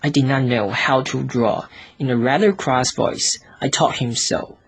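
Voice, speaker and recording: A man reads a storybook as scripted audiobook narration, in short, careful, deliberate phrases. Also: mid-range voice, breathy edge. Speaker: audiobook reader.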